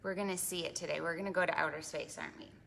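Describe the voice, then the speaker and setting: Bright-voiced woman leading preschool storytime. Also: fairly low pitch, racing, vocal fry. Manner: animated, exaggerated child-directed talk with many questions. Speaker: children's librarian and storytime host.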